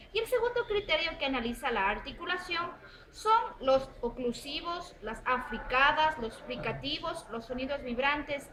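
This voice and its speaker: High, lively young woman presenting a lesson. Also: poor audio. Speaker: student presenter. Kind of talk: reading a class presentation.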